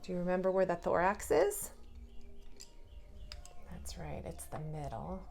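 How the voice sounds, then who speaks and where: A woman's voice: lowish voice, a little slow, creaky, darkish tone. A woman preschool teacher giving a hands-on, instructive lesson to young children, in simple words. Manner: instructive, asks the listener simple questions. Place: indoors at a table, drawing on paper cards.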